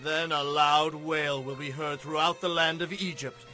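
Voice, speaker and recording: A righteous, unyielding prophet in a Bible audio drama. The voice is fairly high, bright and forceful, declaiming solemnly and defiantly over faint music. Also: male voice, flat delivery, measured pace. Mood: stern warning.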